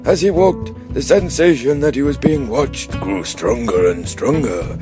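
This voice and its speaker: A man's voice: medium pitch, measured pace. A man narrating a comic radio play in a mock-dramatic scripted storytelling style. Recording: music bed behind the voice.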